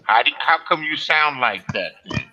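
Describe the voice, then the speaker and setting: A man with a high-pitched voice trading crude, mocking jokes in casual banter. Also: lively intonation, normal speed, muffled recording, crowd noise. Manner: crude loud roasting banter.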